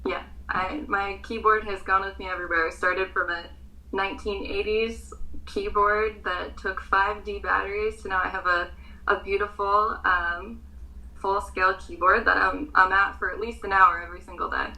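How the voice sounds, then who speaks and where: A woman's voice: mid-range voice, flat delivery, normal speed, pushed and bright, poor audio. A young American woman in her twenties with an American accent, a pageant contestant answering interview questions earnestly, with frequent ums.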